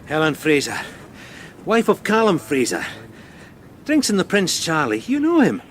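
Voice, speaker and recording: A put-upon detective sergeant in a radio crime drama. His voice is fairly high, and he speaks with deadpan, tetchy deference. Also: measured pace, a little hiss or hum. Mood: matter-of-fact. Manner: deadpan, dry.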